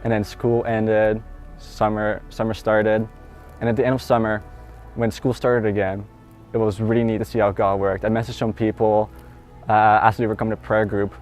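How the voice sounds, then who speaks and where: A man's voice: fairly low pitch, level tone, moderate pace, a little mellow, soft background music. A teenage boy from the Netherlands with a Dutch accent, earnestly telling his personal faith story in a conversational way. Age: teens. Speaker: student. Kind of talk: personal testimony.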